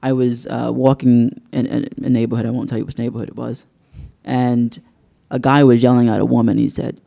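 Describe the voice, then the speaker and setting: A male journalist speaks on a university panel with a gravelly, mellow voice. He talks in an earnest, measured way and leans into storytelling to make his point. The delivery is thoughtful rather than showy. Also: medium pitch, flat delivery. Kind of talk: panel discussion. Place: university event hall.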